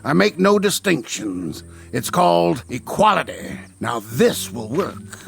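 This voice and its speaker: A man's voice: on the high side, big pitch swings, a little slow, vocal fry, faint music behind, heavily acted. A man playing a sly, greedy frontier peddler in a radio drama, wheedling and insinuating as he talks. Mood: gleeful, scheming. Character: sly, greedy male frontier peddler, a smooth-talking swindler.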